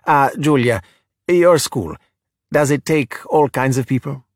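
A man's voice: medium pitch, a little slow, dry recording, clean studio sound, close to an everyday voice. Man acting a sullen, sarcastic boy. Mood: sullen, goading. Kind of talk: children's storybook character voice.

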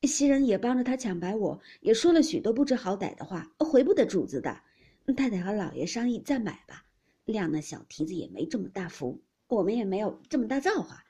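A woman's voice: mid-range voice, sing-song, a little fast, dark tone, breathy edge. A woman voicing a sulky servant's wife who complains resentfully.

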